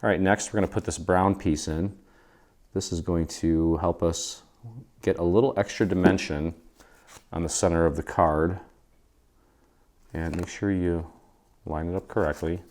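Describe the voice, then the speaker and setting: A man's voice: low-pitched, steady tone, unhurried, long pauses, a little mellow. A man in his forties with an American accent, casually hosting a step-by-step craft tutorial in an informal, easygoing way. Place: indoor craft studio.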